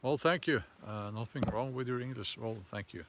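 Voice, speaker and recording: A man with a Norwegian accent hosting a casual live chat and answering viewer comments, talking haltingly with many fillers and restarts. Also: medium pitch. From Norway.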